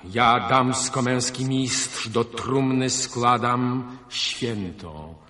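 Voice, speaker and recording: Grand, slow-speaking anguished fashion master. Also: male voice, mid-range voice, pushed and bright. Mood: bitter cursing. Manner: solemn, pompous, declamatory. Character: grand, anguished master fashion designer, male, cursing and lamenting. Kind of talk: theatrical radio play declamation. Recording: long hall-like reverb.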